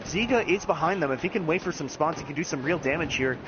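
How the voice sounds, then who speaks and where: A man giving play-by-play commentary on an online match, talking fast with the odd chuckle. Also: on the high side, dull audio, background noise. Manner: rapid, animated play-by-play with chuckles.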